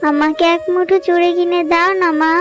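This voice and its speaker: A very high, childlike little fox girl from a kids' cartoon. She is eager and pleading, a sweet small daughter, with music playing clearly behind her. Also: little pitch movement, fairly quick.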